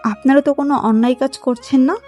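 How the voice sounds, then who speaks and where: A woman's voice: high voice, steady tone, quick pace, a little mellow, faint music behind, voice pushed up, natural voice. A woman voicing a clever, bold young daughter-in-law in a cartoon folk tale, insistent and practical.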